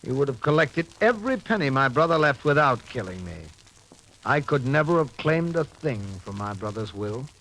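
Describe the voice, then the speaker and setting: An actor playing a mocking, menacing escaped convict, calm, deliberate and sardonic, in 1940s radio style. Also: male voice, mid-range voice, poor audio. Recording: old radio recording, faint noise.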